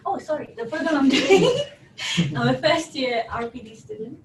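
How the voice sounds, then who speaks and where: A woman's voice: high voice, lively intonation, normal speed. A woman from Ghana, a graduate student, casually introducing herself in a classroom. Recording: echoey room, distant mic, clear noise.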